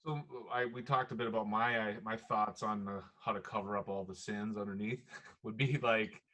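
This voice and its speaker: A podcast host, chatting casually, laughing often and drifting off topic. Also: male voice, fairly low pitch, fairly even intonation, normal speed, pushed and bright. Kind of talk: casual chat.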